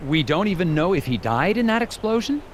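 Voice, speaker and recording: A man playing an earnest, awkward young FBI agent in an animated game, polite and hesitant with a deadpan streak. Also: high voice, fairly quick, a little hiss or hum. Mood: puzzled, polite. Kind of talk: animated adventure game dialogue and dictated notes.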